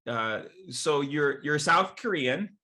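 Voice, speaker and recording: Bright-voiced man hosting a chat. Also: on the high side, animated. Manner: friendly, halting questions with many fillers. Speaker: podcast host and pastor. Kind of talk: casual interview chat.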